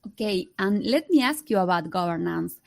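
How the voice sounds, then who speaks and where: A woman's voice: mid-range voice, lively intonation, moderate pace, creaky. A woman hosting a podcast, asking short, direct interview questions. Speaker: podcast host.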